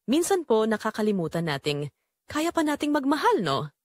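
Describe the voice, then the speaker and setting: A woman's voice: fairly high pitch, big pitch swings, moderate pace, dry recording. A woman voicing a polite, tentative young man yearning in forbidden love, with a Filipino accent, for an audio drama. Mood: wistful. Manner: soft-spoken, tentative, courteous.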